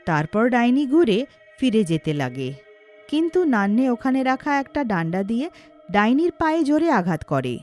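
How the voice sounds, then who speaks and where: A woman's voice: fairly high pitch, very animated, moderate pace, a little mellow, faint music behind. A woman narrating a scripted cartoon story in a dramatic storytelling style.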